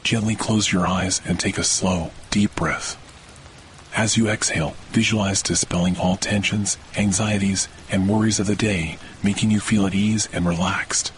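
Male meditation host, very deep voice, slow hushed soothing read, faint rain underneath. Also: fairly even intonation, slight background noise, poor audio. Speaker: meditation guide. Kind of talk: guided sleep meditation. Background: faint rain sounds.

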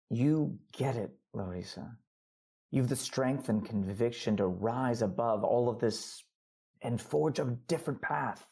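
Gravelly, husky, earnest husband. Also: male voice, medium pitch, mellow, heavily acted. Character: earnest, devoted male lover and secret husband. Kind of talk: audio drama acting.

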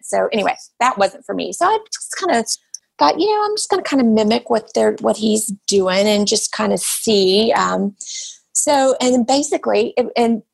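A woman in her fifties, a podcast guest, explaining her habits in relaxed casual chat with many fillers. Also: medium pitch, vocal fry, husky. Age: 50s.

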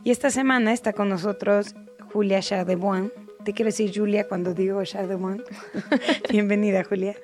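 Woman host, easygoing and warm with guests, mid pitch, soft music bed behind. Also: steady tone, normal speed. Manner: friendly, laughs often. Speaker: radio show host. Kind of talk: casual radio interview.

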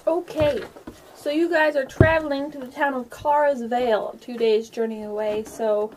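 A woman's voice: high-pitched, fairly even intonation, normal speed, noisy background. A woman hosting a tabletop role-playing game casually as game master, chatty and informal, with a self-deprecating way of talking. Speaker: game master and podcast host.

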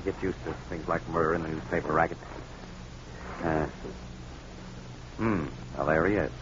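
An actor playing a tough, wisecracking newspaper photographer, brisk and slangy, in a 1940s radio crime drama. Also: male voice, extremely low pitch, fairly even intonation. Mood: hard-boiled. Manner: brisk, hard-boiled, slangy. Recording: muffled, noisy old radio recording.

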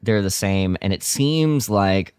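Guy on a podcast, lowish voice, dry sound, casual and kinda halting as he thinks out loud. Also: expressive, clean tone, no echo. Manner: informal, halting, thinking aloud. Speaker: podcast co-host. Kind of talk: casual chat, media discussion.

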